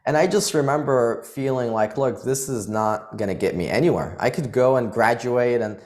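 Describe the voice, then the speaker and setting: A man's voice: mid-range voice, normal speed, darkish tone. A man from Iraq, a guest on a podcast, telling his life story in an earnest, driven way. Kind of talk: casual interview talk.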